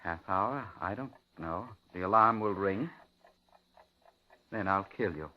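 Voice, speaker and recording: Creepy paranoid captor, low voice, polite but menacing, old muffled radio thriller sound. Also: male voice, takes it slowly. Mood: cold threat. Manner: coldly polite, taunting, accusing. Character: paranoid madman holding a woman captive, quietly menacing. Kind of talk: 1950s radio thriller acting. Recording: muffled, noisy 1950s radio recording.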